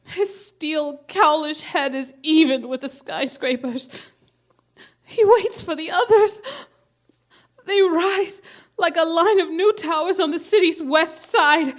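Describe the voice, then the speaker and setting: A woman playing a grave, frightened radio reporter giving an eyewitness bulletin in an invasion drama, halting and dramatic. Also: extremely high pitch. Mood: tense dread. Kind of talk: radio play news bulletin acting.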